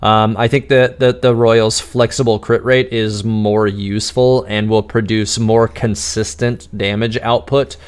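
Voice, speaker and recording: A man hosting a live-stream Q&A, answering chat questions in an exuberant, radio-like way and enunciating words crisply despite quick restarts. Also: lowish voice, level tone. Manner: talks fast with frequent restarts and laughs.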